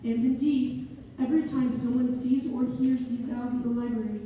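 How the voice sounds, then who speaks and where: A woman's voice: a little high, fairly even intonation, moderate pace, dark tone, lightly acted. A woman playing an earnest young male architect who fondly recalls his patron in a staged read-through. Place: reverberant hall, community theater performance. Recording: echoey hall from far off, faint noise.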